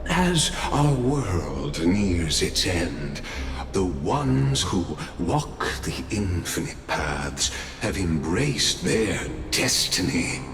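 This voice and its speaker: A man's voice: low-pitched, big pitch swings, drawn-out words, exaggerated voice. An actor playing a grandiose, cryptic male herald in a fantasy video game, speaking formally and theatrically. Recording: echoing voice over loud music.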